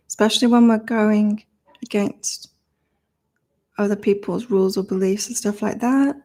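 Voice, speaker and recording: Middle-aged British-born woman, psychic livestream host, monotone, loose and repetitive. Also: mid-range voice. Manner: rambling, thinks aloud, repeats herself. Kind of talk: casual live chat reading viewer comments.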